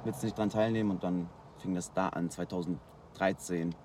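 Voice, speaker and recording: A man from Germany, a guest freestyle rapper, telling stories in relaxed slangy casual chat, worn out after a long night. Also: low-pitched, moderate pace, noisy background. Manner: relaxed, slangy storytelling with fillers.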